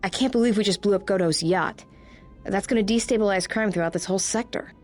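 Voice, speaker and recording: An actress playing an earnest, streetwise bounty hunter in a video game, conversational and matter-of-fact. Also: female voice, medium pitch, fast, over loud music, natural voice. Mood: earnest concern.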